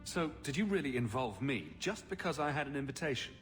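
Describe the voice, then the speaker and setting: Casting: an impatient, sarcastic adventurer for a game, animated delivery, mid pitch, light music behind. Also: male voice, fairly quick. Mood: sardonic. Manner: sarcastic, blunt. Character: sardonic male adventurer hero, brash, impatient. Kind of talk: video game voice acting.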